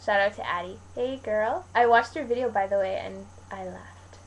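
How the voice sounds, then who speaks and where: A woman's voice: medium pitch, normal speed, a little hiss or hum, low-quality recording. A young woman from Canada talking casually to viewers in a rambling, informal way, like a vlogger answering questions. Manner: rambling, informal, colloquial with frequent "like". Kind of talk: casual chat to camera, Q&A vlog.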